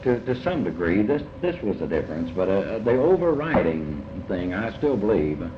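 Deep-voiced older man, muffled echoey recording. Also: very animated, background noise. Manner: halting, often repeats words and rephrases. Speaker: interviewee recalling early life. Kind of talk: reflective oral history interview.

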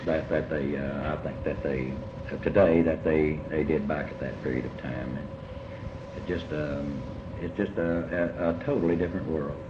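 An older man speaking reflectively in an interview about his life, halting and often repeating words as he rephrases. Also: very deep voice, steady tone. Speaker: interviewee recalling early life. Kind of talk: reflective oral history interview. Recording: muffled, noisy, echoey room recording.

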